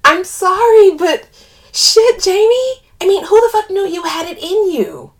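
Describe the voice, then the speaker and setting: Brash female best friend, voiced by a woman narrator: high, very lively, blunt, profane, theatrical audiobook read. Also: measured pace, heavily acted. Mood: amused disbelief. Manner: sweary, exclamatory, stammers when flustered. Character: brash, foul-mouthed, dramatic woman friend, alarmed and blunt. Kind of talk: audiobook character dialogue.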